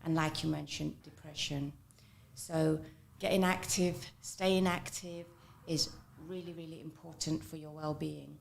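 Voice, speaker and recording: Measured, low-toned woman hosting a radio talk show. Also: little pitch movement, vocal fry. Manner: measured, affirming, echoes the guest's words. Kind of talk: radio interview.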